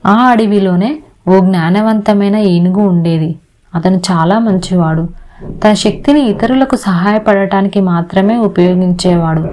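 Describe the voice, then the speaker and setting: A woman's voice: mid-range voice, dark tone. A woman narrator reading a scripted moral story for children. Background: music.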